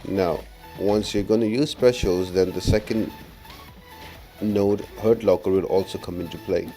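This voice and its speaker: Deep mellow guy doing a casual game guide, explains stuff step by step, bit of music behind. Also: fairly even intonation, unhurried. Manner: explanatory, instructional. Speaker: gaming guide video narrator. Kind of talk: casual game walkthrough commentary. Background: faint music.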